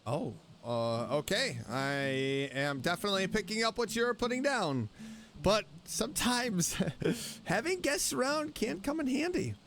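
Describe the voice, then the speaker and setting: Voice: mid-range voice, animated, a little slow, background noise. A streamer giving casual, playful, joking reactions while commentating on a video game. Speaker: VTuber streamer.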